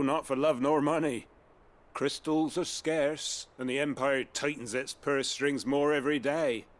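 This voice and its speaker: Humble working fisherman acted by a man, video game, British, folksy everyman, medium pitch. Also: lightly acted. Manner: folksy, plainspoken. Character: humble working-class fisherman, plainspoken and grateful.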